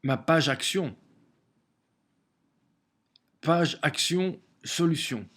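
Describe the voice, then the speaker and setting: A man with a cold, talking alone to his camera at home in a halting way, with many repeated words and restarts. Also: a little high, animated, fairly bright tone. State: has a cold. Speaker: vlogger sharing daily messages. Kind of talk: casual home video monologue. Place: small room at home, facing a window.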